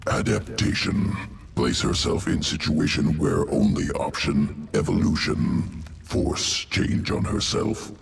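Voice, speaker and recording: Alien gene-crafter, game monster, guttural, slow, telegraphic phrasing, detached, roomy echo, far-off with faint noise. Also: male voice, extremely low pitch, full character voice. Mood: clinical. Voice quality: guttural, gravelly. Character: cold alien insectoid geneticist creature, obsessed with evolution. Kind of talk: video game character acting. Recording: roomy echo, distant, noisy game ambience.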